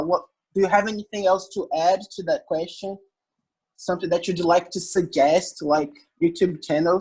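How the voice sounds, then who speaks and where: Husky-voiced man moderating a call. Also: high voice, poor audio. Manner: friendly, guiding, asks questions. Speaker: host, moderator. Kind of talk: moderating an online discussion.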